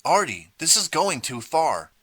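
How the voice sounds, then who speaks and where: A man's voice: mid-range voice, expressive, normal speed, pushed and bright, husky, dry recording, pitched above the performer's own voice. A man voicing an anxious, guilt-ridden teenage girl who pleads in a raised voice. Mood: distressed.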